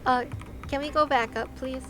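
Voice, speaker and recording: Playful woman livestreamer with a highish voice. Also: fairly even intonation, moderate pace, heavy background noise. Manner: playful, teasing, exclaims in wonder. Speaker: game livestreamer. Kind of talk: casual livestream chat over gameplay. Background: game music.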